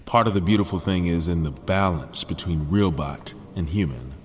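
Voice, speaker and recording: Man acting a deep-voiced awkward billionaire inventor. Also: normal speed, a little hiss or hum, lightly acted. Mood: proudly explaining. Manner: awkward, conversational, explanatory. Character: socially awkward male billionaire inventor, proud and prickly. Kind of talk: naturalistic sci-fi audio drama acting.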